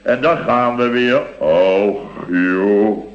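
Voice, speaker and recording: An actor playing a blustering, sentimental middle-aged building contractor in a radio comedy, rambling and exclamatory. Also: male voice, fairly low pitch, extremely slow, muffled recording. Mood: exasperated. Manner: rambling, exclamatory, with repeated tag words. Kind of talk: Dutch radio comedy acting.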